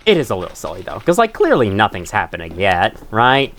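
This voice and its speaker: A young man talks through a game in loose, rambling commentary, swearing now and then. His nose sounds stuffy, and his pitch swings widely up and down as he chats. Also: wildly swooping pitch, brisk, a bit bright. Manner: rambling, chatty, swears casually. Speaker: gaming channel host. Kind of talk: casual let's-play commentary.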